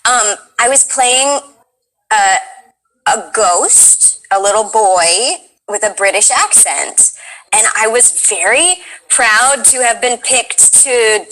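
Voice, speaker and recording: A woman's voice: on the high side, takes it slowly, sharp tone, poor audio. A woman speaking as a guest voice actor in a casual chat, thoughtful, with frequent laughs and fillers.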